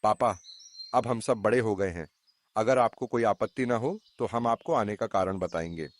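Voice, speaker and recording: A man's voice: lowish voice, moderate pace, no room sound, natural voice. A man playing an idle, entitled grown son in a cartoon moral story, self-assured and demanding. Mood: insistent.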